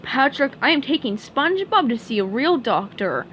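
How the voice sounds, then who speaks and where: A woman voicing a feisty, no-nonsense female squirrel who talks brisk and bossy. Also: sits high, big pitch swings, a bit bright, a little hiss or hum. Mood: firm.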